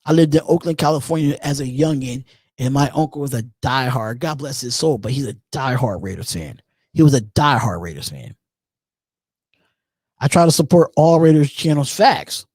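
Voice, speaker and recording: A man in his thirties hosting a live call-in show on his own, talking casually, repeating phrases and pausing on drawn-out ums while he reads out chat comments. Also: mid-range voice, lively intonation, darkish tone, breathy edge, no echo, studio quality. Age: 30s. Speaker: host.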